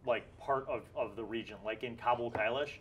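A man's voice: medium pitch, a little fast, dull audio, a little hiss or hum. A middle-aged man running a tabletop game as game master, with a patient, instructive, easygoing way of talking, recorded on a lapel mic in an indoor studio room.

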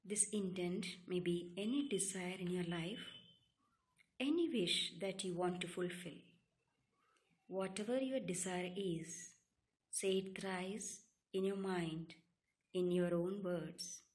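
A woman leads a guided relaxation meditation, giving calm, soothing instructions in short, separated phrases. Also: on the low side, slow pace. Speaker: yoga nidra meditation guide.

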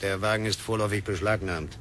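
A man's voice: on the low side, steady tone, fast, a bit bright, slight background noise, close to an everyday voice. A man playing a dry officious sergeant. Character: unbending colonial police sergeant, adult man.

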